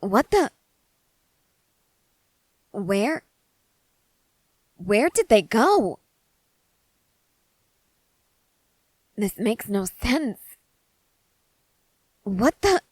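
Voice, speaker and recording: A woman's voice: mid-range voice, very animated, very slow, long pauses, slightly rough, no room sound, full character voice. A woman playing an eager, intensely curious researcher-soldier who rambles in precise, analytical sentences in a scripted ASMR roleplay. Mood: baffled.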